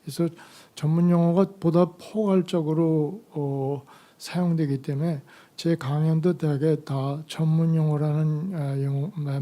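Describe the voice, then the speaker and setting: An older man, a retired medical professor, giving an academic lecture with a voice hoarse from a cold, in a halting delivery full of fillers. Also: fairly high pitch, mellow.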